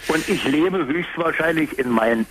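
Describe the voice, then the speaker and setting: An older man, a great-grandfather, calling a radio show and speaking haltingly and sincerely, often searching for words. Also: a little high, animated, fairly bright tone, a little hiss or hum, rough recording. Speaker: caller. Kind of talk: phone-in conversation.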